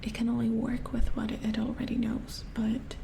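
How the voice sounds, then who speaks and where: A woman playing a calm, amused robot repair engineer in an ASMR roleplay, whispering breathily as she patiently explains in halting, musing phrases. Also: on the high side, gravelly, slight background noise. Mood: soothing, explanatory. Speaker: ASMR performer. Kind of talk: soft-spoken ASMR roleplay.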